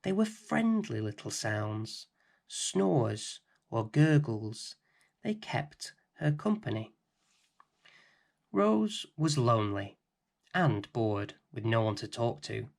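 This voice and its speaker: Slow-paced man reading a bedtime story. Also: medium pitch, very animated, vocal fry. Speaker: storybook reader and host. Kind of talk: children's bedtime story narration.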